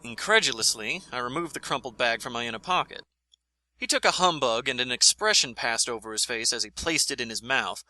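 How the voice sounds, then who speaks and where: Frontier-era American government scout for audio drama, male actor: very bright, forceful tone, kindly but shrewd, folksy phrasing, close dry recording. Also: mid-range voice, dry recording. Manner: plain-spoken, folksy. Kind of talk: scripted audio drama, dialogue and first-person narration.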